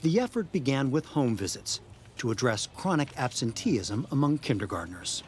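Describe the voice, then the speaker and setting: American male reporter with lively pitch. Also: moderate pace, slight background noise. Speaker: news correspondent. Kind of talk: scripted news narration.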